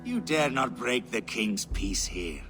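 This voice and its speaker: A man's voice: medium pitch, animated, slow, over loud music. An actor playing an insolent, crude male warrior who taunts and swears at his rival. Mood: defiant. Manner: mocking, defiant, swearing. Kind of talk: video game cutscene acting.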